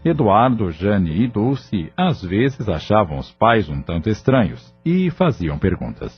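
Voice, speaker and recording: A man's voice: fairly low pitch, sing-song, measured pace, husky, highs cut off. A Brazilian man reading scripted narration for an audio drama. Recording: band-limited recording with music bed. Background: music.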